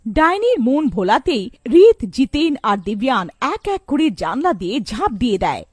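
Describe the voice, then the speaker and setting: High, lively woman narrating a story. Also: moderate pace, dry recording, clean studio sound. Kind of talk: animated scripted storytelling narration.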